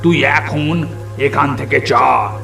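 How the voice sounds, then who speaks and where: A man voicing a menacing old ghoul grandfather who craves flesh, in a slow, domineering, drawn-out way for a horror cartoon. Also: medium pitch, steady tone, gravelly, exaggerated voice. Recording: echoey voice under loud music.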